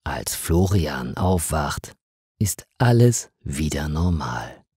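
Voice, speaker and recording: A man narrating a scripted story for language learners in a deliberate, expressive and carefully phrased way. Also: a bass voice, husky, no room sound, clean studio sound.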